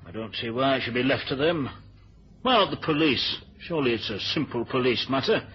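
An actor playing an indignant, self-important British politician in a 1970s radio drama, with a husky, insistent voice. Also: male voice, mid-range voice, animated, brisk, slight background noise, natural voice. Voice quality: husky, rough-edged. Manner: insistent, querulous. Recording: dull old radio recording.

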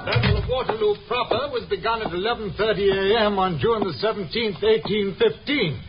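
An actor playing a fragile sideshow mental marvel with a formal, precise way of speaking in a 1950s radio drama. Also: male voice, sits high, brisk, over music, lightly acted. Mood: reciting. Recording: dull noisy vintage radio, crowd behind.

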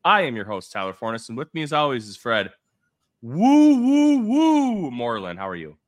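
A man's voice: mid-range voice, huge pitch swings, normal speed. A man hosting a podcast, talking in a laid-back, loose way with frequent fillers. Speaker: podcast host. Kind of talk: casual chat.

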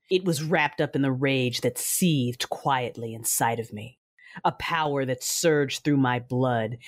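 A woman playing a cold, vengeful dark ruler with a husky voice, narrating a space fantasy audio drama. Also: extremely low pitch, no room sound, clean studio sound. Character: cold vengeful Sith ruler, seething and menacing.

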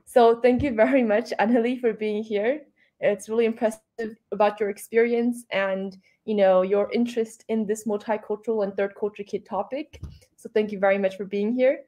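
Female moderator, mellow tone, courteous questioning style. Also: mid-range voice, fairly even intonation, moderate pace. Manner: friendly, polite questioning. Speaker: host and moderator. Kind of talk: moderating an online panel talk.

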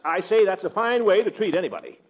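An American actor playing a pompous, easily flustered middle-aged uncle in a theatrical 1940s radio sitcom style, indignant and sputtering. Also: male voice, sits high, quick pace, close to an everyday voice. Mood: blustering, defensive. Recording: muffled, noisy 1940s radio recording.

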